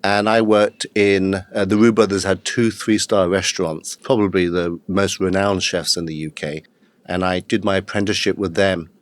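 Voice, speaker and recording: Low-voiced Englishman in his fifties. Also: moderate pace. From England. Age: 50s. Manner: steady, matter-of-fact storytelling with occasional uhs. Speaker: guest, executive coach. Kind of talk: podcast interview.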